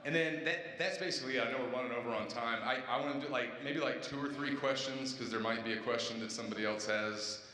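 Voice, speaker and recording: A university dining director speaking to parents on an auditorium stage, upbeat and chatty, with fillers and restarts. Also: male voice, mid-range voice, fast, few pauses, bright, forceful tone. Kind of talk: informal speech to parents at orientation. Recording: echoey hall with long reverb.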